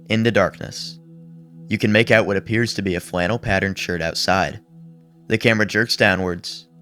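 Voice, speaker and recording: Guy w a deep voice doing a horror video essay, measured storytelling, bit casual, music faintly behind him. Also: a bit bright. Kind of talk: scripted horror commentary with casual asides. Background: background music under the voice-over.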